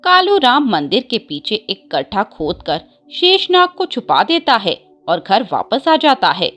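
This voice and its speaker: A woman's voice: a little high, wildly swooping pitch, unhurried, a bit bright, muffled recording, soft background music. A woman narrating a scripted devotional story as a storyteller.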